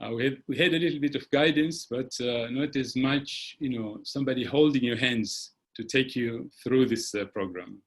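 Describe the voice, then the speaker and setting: Casting: male webinar host, mid pitch, urging, hesitant fillers, video-call mic. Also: breathy edge. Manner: pauses with frequent "uh", urging tone. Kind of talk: motivational webinar hosting.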